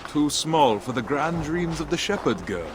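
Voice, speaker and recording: An actor playing a proud, earnest Viking trader and husband in a video game cutscene. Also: male voice, a little high, sing-song, moderate pace, noisy background. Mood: light, affectionate. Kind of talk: dramatic game cutscene acting. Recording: music behind the voice.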